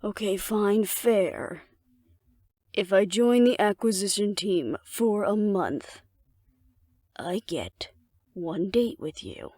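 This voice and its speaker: A woman's voice: medium pitch, animated, slow, long pauses, mellow, no echo, studio quality. A woman playing a cocky, flirtatious female alpha werewolf in an intimate audio roleplay, speaking to the listener in a wry, teasing, confident way. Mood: smug, playful bargaining.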